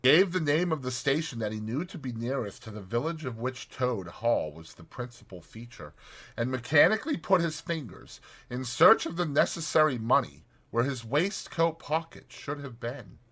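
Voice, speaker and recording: A man narrating an audiobook as a dramatized story, in a theatrical, expressive manner. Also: medium pitch, moderate pace.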